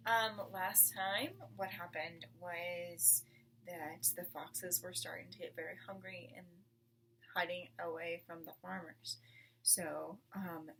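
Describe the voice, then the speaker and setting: A woman reading a children's book aloud in an expressive, deliberate storytelling manner. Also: fairly low pitch, recorded from a distance, a little hiss or hum, low-quality recording. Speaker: storybook reader.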